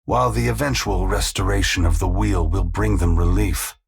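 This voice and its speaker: An actor playing a solemn, compassionate ancient god in a video game, speaking formally and gravely in a deep, gravelly, husky, measured voice. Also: male voice. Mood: solemn, resolute. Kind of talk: dramatic video game dialogue.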